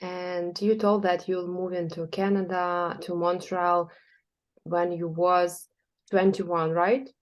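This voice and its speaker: A woman from Ukraine, hosting her own interview channel and speaking in halting sentences with many fillers. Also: lowish voice, little pitch movement, muffled recording. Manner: halting, filler-heavy speech. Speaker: channel host. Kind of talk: interview host.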